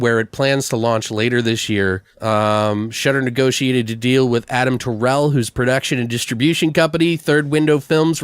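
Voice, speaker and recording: A man's voice: medium pitch, clear, resonant tone, no room sound, studio quality. A man co-hosting a podcast, chatting casually through entertainment news in an informal, slangy, sweary way. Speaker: podcast co-host. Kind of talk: casual chat about horror film news.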